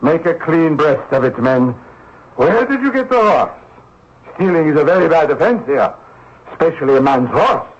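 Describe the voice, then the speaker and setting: An actor playing an officious, self-important Russian village bailiff in a radio drama, deliberate and interrogating. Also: male voice, a little high, big pitch swings, breathy edge. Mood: pompous, stern. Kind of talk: old-time radio drama acting. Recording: muffled old radio recording, faint noise.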